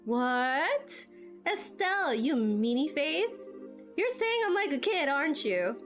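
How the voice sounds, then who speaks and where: Game role: girlish young female fighter, played by an amateur actress. High voice, perky, friendly, informal; music underneath. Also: very animated, slow, a bit bright, exaggerated voice. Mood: playful, bubbly. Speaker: amateur voice actor playing through a game. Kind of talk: amateur game dialogue voice acting.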